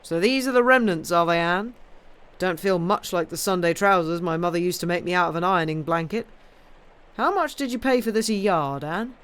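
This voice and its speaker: A reader voicing a jovial, blustering middle-aged husband with a loud, hearty manner. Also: medium pitch, fairly quick, clean tone, close to an everyday voice. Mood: teasing.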